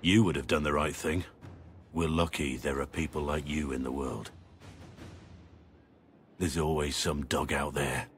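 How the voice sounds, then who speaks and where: Deep-voiced, brooding warrior. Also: male voice, steady tone, soft background music. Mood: bitter, weary. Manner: grave, earnest, deliberate. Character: brooding, guilt-ridden middle-aged male warrior of a warden order. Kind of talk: dramatic video game acting.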